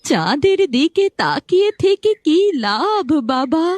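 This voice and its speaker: Woman acting anxious cartoon mother, very high voice, slow lilting delivery, doting, chiding, animated intonation. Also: no room sound. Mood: worried, pleading. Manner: fretful, chiding, affectionate. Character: worried, doting village mother of a grown son. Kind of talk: animated fairy-tale dialogue. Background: music.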